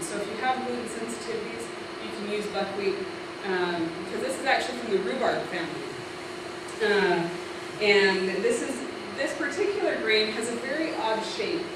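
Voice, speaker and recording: A woman in her thirties gives a relaxed talk to a room full of people, using plenty of fillers. She projects her voice to reach the back of the hall. The sound comes from far off, with heavy echo and a lot of background noise. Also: medium pitch. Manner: loud projecting voice, friendly with fillers. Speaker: presenter at a food storage class. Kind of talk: casual class presentation. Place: meeting hall with audience. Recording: echoey hall from far off, noisy.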